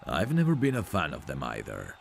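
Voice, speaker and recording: A man's voice: extremely low pitch, huge pitch swings, fairly quick, vocal fry, a little hiss or hum, exaggerated voice. A man playing a cocky, smug prizefighter in an ASMR roleplay audio drama, with a boastful, teasing, self-assured manner. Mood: smooth, teasing. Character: cocky, smug male prizefighter, proud of his looks. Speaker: voice actor.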